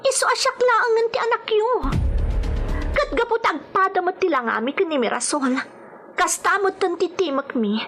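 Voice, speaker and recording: A woman's voice: very high-pitched, expressive, normal speed, a bit bright, slight background noise, full character voice. A woman playing an intense, defiant grown daughter, lovesick and jealous, in an emotional, melodramatic radio drama style. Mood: bitter, defiant. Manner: emotional, insistent. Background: background music.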